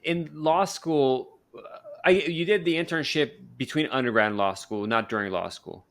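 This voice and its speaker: A man's voice: medium pitch, moderate pace. A male podcast host talking casually, rambling with many fillers and restarts as he promotes courses and interviews a guest.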